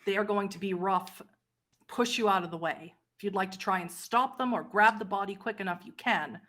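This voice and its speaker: A woman working as game master, narrating a tabletop horror roleplay game in a measured way and directing the players. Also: mid-range voice, fairly bright tone. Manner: measured, directing players through scenes and rolls.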